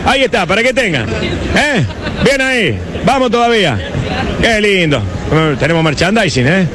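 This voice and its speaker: A man with an Argentine accent reporting live for radio outside a stadium, chatty and excitable, with lots of fillers and restarts. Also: high voice, moderate pace, crowd noise. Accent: Argentine Spanish. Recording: noisy outdoor live feed.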